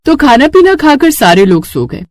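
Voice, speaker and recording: A woman's voice: high-pitched, sing-song, normal speed, darkish tone, no echo. A woman narrating a scripted moral tale as a storyteller.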